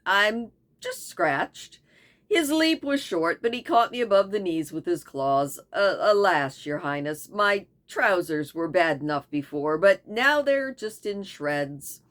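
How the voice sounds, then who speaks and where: A woman's voice: fairly low pitch, animated, slow, a bit bright. A woman voicing a wry, gallant young hunter. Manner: light and joking.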